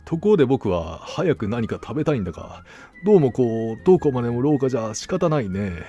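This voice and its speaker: Man acting a self-assured, gullible gentleman hunter, animated. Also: medium pitch, brisk, dull audio, soft background music, lightly acted. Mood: musing, satisfied. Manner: confident, musing, conversational. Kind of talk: storybook dialogue acting.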